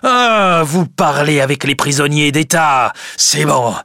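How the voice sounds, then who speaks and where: A man's voice: on the high side, lively intonation, slow, pushed and bright, no room sound, clean studio sound, voice pushed up, heavily acted. A man voicing an angry old jailer, mocking and threatening, in a storybook reading. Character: angry old jailer, menacing, sarcastic father.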